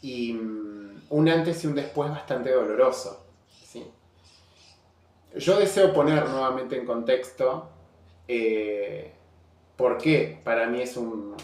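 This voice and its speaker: A man's voice: medium pitch, darkish tone. Candid Argentine man in an echoey room. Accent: Argentine Rioplatense Spanish. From Buenos Aires province, Argentina. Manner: candid, earnest, speaks in halting restarts and fillers. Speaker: vlogger. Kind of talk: casual personal vlog monologue.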